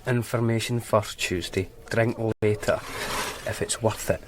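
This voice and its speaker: A man's voice: on the low side, steady tone, unhurried, noisy background, close to an everyday voice. An actor playing a hard-nosed male police detective, firm and pressing. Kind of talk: radio drama acting.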